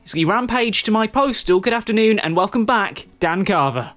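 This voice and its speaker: Male podcast host, slightly high voice, casual chat with a presenter's touch. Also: animated, moderate pace, a bit bright, faint music behind.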